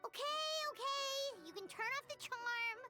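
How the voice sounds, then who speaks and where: A woman's voice: extremely high pitch, normal speed. A woman voicing a tiny, childlike, huffy fairy companion in a cartoonish video game style. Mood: grudging. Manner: speaks of herself in third person, pouty. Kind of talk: cartoonish game character acting. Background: loud game music.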